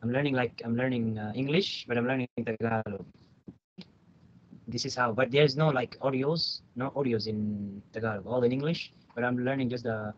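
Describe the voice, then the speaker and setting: A man learning a language, chatting casually with his tutor over a video call in a halting way, full of fillers and restarts. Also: mid-range voice, steady tone. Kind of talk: casual online tutoring chat. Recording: dull lo-fi call audio with faint noise.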